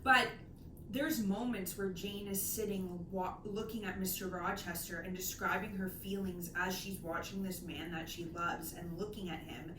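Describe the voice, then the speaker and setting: A woman's voice: mid-range voice, steady tone, normal speed. Woman chatting enthusiastically in an echoey room. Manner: enthusiastic, digressive, emphatic. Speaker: co-host of a book discussion channel. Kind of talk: casual chatty book discussion. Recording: echoey room, distant mic, clear background noise.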